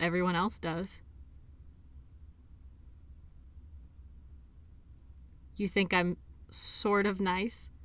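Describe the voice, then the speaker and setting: A woman acting an earnest, defensive and lonely sports doctor in an intimate audio drama, speaking in an emotional, halting way. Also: mid-range voice, a bit bright, dry recording. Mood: softening, hesitant. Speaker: audio roleplay performer.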